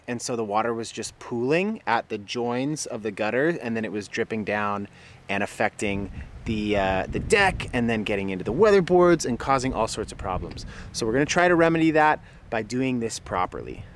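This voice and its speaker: A man's voice: lowish voice, lively intonation, normal speed, a little hiss or hum. A man hosting a home renovation vlog, plainly explaining each step of a DIY job in a casual way. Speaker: host of a home renovation channel.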